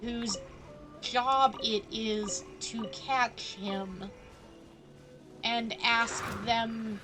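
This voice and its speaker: A woman's voice: fairly high pitch, fairly even intonation, very slow, music in the background, rough recording. A woman live streaming a game, giving casual commentary with dry, skeptical asides.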